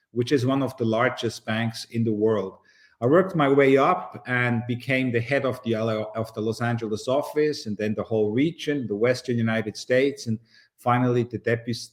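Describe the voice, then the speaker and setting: A middle-aged man from Switzerland talks through his career as an interview guest. His delivery is measured and unhurried, and he often pauses while he gathers his thoughts. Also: mid-range voice. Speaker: guest, boxing promoter. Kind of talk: relaxed interview storytelling.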